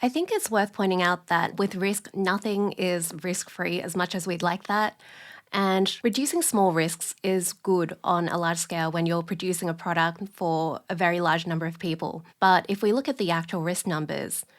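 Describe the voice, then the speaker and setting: A woman speaking as an expert guest in an interview, explaining in a measured, matter-of-fact way. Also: fairly low pitch, steady tone.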